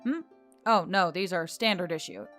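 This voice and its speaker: A jaded male boss of treasure hunters , as a woman reads him, talks with dry derision. He is gruff and deadpan, worn out by other people's trouble. It is a fantasy audiobook with music underneath. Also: on the low side, normal speed, lightly acted. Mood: tired, evasive.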